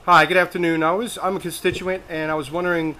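A man's voice: high voice, flat delivery, quick pace, noisy background. An American man speaking insistently as an activist caller making a political phone complaint, with some hesitant phrasing.

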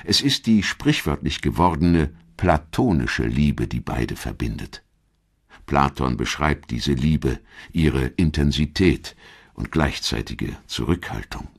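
A man reading scripted documentary narration in a measured, articulate storytelling manner. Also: rumbling low, animated, gravelly, breathy edge.

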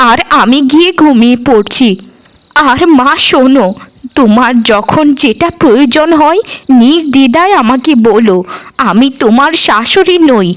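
A woman voicing a loving, supportive middle-aged mother-in-law in a cartoon, tender and reassuring. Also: sits high. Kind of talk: cartoon dialogue.

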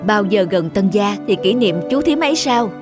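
A man's voice: high-pitched, lively intonation, normal speed, over music. A man voicing a considerate, patient husband in a cartoon, earnest and placating. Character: mild, earnest, considerate husband and older brother.

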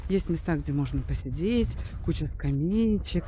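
A woman's voice: low-pitched, animated, normal speed, warm tone, a little hiss or hum. A woman giving casual, unscripted travel commentary as a guide, explaining things hesitantly with trailing asides.

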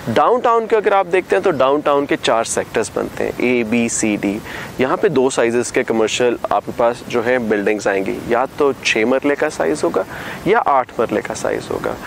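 A man in his thirties speaks with a Pakistani Urdu accent. His voice sits at an ordinary middle pitch, and he explains things in a steady, informative way. He is recorded on a clip-on mic outdoors, with a faint hum of traffic and open air behind him. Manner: steady explanatory, gestures with hands. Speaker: real estate advisor. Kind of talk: on-site property presentation. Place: outdoor hilltop overlooking construction site. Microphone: lapel mic.

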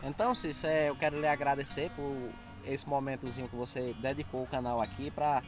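A man's voice: medium pitch, steady tone, a little fast. A man hosting a casual interview outdoors on a farm. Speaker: interviewer, channel host. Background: music.

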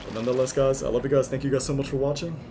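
A hoarse young man giving loud, profane commentary over his own gameplay, muttering one moment and yelling the next. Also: medium pitch, fairly even intonation, rapid-fire, noisy background. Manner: swings from muttering to yelling, swears a lot. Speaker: gaming YouTuber. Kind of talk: excited live gameplay commentary.

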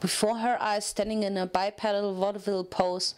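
A woman reading fiction aloud as a narrator, in an expressive, dramatic storytelling manner. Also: medium pitch, fairly quick, smooth.

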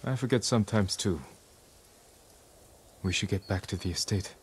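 A man's voice: lowish voice, normal speed, slight background noise, lightly acted. A man playing a dutiful, protective samurai lord in a video game drama, terse and restrained. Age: adult.

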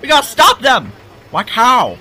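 A man voicing small, excitable cartoon monsters in a fan dub, exclaiming theatrically. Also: very high voice, unhurried, a faint crowd, a little hiss or hum, exaggerated voice. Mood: excited, alarmed. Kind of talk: fan-dubbed cartoon acting.

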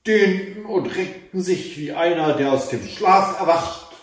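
A man in his fifties reading a fairy tale aloud in a measured, dramatic way with deliberate pauses. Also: on the high side, lively intonation. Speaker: storyteller reading fairy tales. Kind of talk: expressive read-aloud fairy tale narration. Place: indoors, book-lined room at home. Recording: echoey room, mic at a distance.

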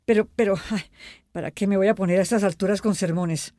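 A woman's voice: medium pitch, fairly even intonation, fast, mellow, dry recording, studio quality, lightly acted. An actress playing a stern, exasperated but sensible mother in a radio soap, scolding frankly with a Colombian accent. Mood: exasperated, worried. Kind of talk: radio soap opera acting.

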